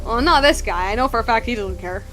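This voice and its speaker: A woman's voice: fairly high pitch, expressive, fast, fairly bright tone, faint music behind. A woman streamer giving casual gameplay commentary, thinking aloud in repeated questions. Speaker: game streamer.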